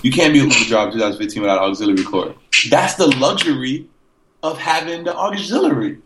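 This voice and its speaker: A man's voice: medium pitch, expressive, fast. Joking podcast host guy, swears a bunch, noisy hiss behind him. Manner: loose, joking, swears a lot. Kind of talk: casual chat.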